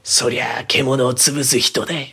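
A sinister old witch aunt, acted out by a man in a horror storybook. The voice is husky and sly, with knowing, old-fashioned turns of phrase that sound unhurried and menacing. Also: medium pitch, steady tone, sharp tone, heavily acted. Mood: curt, probing.

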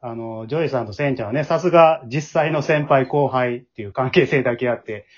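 A man with a husky voice, hosting a casual round-table chat. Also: medium pitch, a little fast. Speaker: host and drama group director. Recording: dull lo-fi audio.

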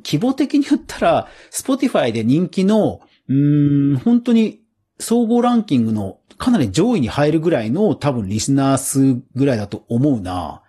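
Male podcast host, mellow tone, slightly raspy, lively intonation, casual explanatory commentary, conversational. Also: on the high side. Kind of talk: casual solo news commentary.

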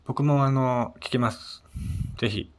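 A man hosting a casual solo radio chat, speaking politely and a little hesitantly, with soft fillers between his phrases. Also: medium pitch, steady tone, measured pace. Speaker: solo podcast host.

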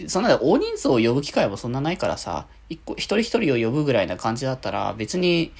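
A man's voice: mid-range voice, wildly swooping pitch, quick pace, creaky, slightly rough. A man hosting a casual solo radio talk, chatting in a rambling, conversational way.

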